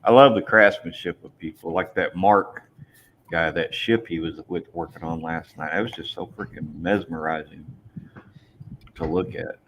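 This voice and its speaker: A man hosting a casual live chat about model building, relaxed and joking. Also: sits low, normal speed, slight background noise. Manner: laid-back, joking. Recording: dull lo-fi livestream audio.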